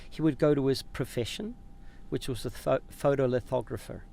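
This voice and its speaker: A young man with a New Zealand accent chats on a podcast from inside a parked RV, with a gravelly, creaky voice. He rambles, restarting words and sentences as he goes. A faint low hum sits behind him. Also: moderate pace, dark tone. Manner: rambling, restarts mid-word. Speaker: podcast guest. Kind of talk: casual chat.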